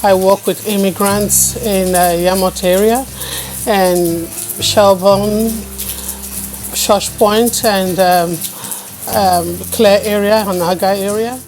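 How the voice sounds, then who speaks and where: A woman's voice: medium pitch, fairly even intonation, slow pace, darkish tone, soft background music, low-quality recording. A woman who works in immigrant support, talking unscripted in an interview. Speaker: immigrant settlement worker.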